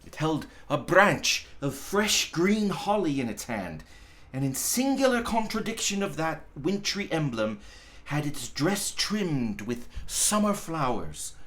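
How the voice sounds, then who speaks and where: A man with a fairly high voice reads a story aloud at a slow pace. His delivery is theatrical and emphatic, with wide swings in pitch and an occasional stumble over the words. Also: very animated, fairly bright tone. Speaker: storyteller, pastor reading aloud. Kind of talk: dramatic audiobook-style reading of a classic story.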